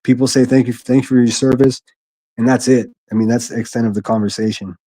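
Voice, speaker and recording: A man with a gruff voice hosting a solo livestream from his quiet living room, reading chat and speaking bluntly with frequent restarts. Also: medium pitch, steady tone, quick pace, no echo. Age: adult, a military veteran. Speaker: livestream host.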